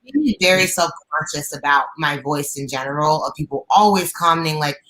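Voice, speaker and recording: A young woman with a low, raspy alto voice, a guest singer talking openly on a video call from her living room, with many fillers and restarts. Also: lively intonation, a little fast, fairly bright tone, echoey room, rough recording. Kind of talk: casual interview chat. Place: bright living room at home.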